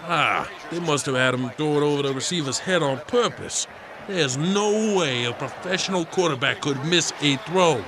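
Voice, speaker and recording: A man's voice: fairly high pitch, expressive, normal speed, close to an everyday voice. Man as grumpy noisy-mic father-in-law. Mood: grumpy, smug. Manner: gruff, emphatic, folksy. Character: grumpy, conspiracy-minded father-in-law lecturing smugly. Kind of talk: scripted comedy sketch. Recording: noticeable background noise.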